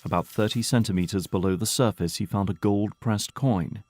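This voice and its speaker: A man's voice: lowish voice, normal speed, darkish tone, dry recording. A man narrating an audio drama in a scripted, dramatic storytelling style.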